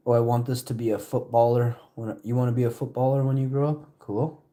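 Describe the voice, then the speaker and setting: A man's voice: medium pitch, level tone, a little fast, warm tone. A man live-streaming, chatting casually with his viewers and answering their questions between bites of food.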